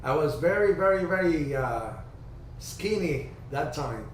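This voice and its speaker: Male host, storyteller, slightly high voice, roomy video-call sound. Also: expressive. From Cuba. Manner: chatty storyteller, halting restarts. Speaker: ham radio livestream host. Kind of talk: casual group video chat. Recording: distant roomy video-call audio, faint hiss.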